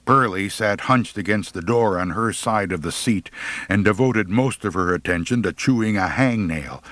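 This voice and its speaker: Male audiobook narrator: wry, theatrical humor, very lively intonation, dry studio-close sound. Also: on the low side, no room sound. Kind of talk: expressive humorous first-person storytelling.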